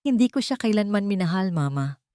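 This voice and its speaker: A woman's voice: medium pitch, animated, fairly quick, dark tone, no echo, clean studio sound, pitched above the performer's own voice, close to an everyday voice. Woman acting a menacing, possessive husband. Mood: cold disgust. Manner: menacing, domineering, cold. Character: cruel, possessive, jealous rich husband.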